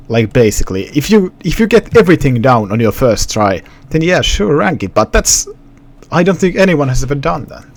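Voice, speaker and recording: A young man from Finland with a softened Finnish accent, chatting casually to his live-stream viewers with many restarts. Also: medium pitch. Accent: Finnish, partly suppressed. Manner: rambling, restarts, talks to chat.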